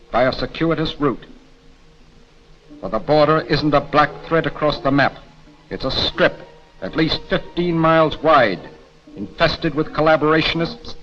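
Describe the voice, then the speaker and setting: A man's voice: fairly high pitch, a bit bright. An American man giving scripted, emphatic narration for a 1940s military training film. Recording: dull 1940s film soundtrack, music behind.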